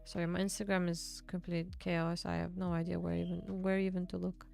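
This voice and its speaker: Gravelly woman streamer. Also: fairly low pitch, level tone, darkish tone, faint music behind. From Bulgaria. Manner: chatty, reads and answers chat, quick laughs. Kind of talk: casual livestream chat with viewers.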